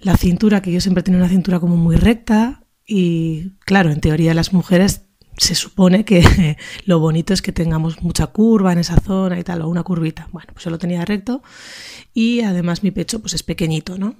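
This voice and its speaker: A woman's voice: lowish voice, a little fast, dark tone. A woman in her early thirties with a northern Spanish accent, sharing an informal personal reflection in a hesitant, thoughtful way. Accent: northern Spain. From Basque Country, Spain. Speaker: contributor to a feminist radio show.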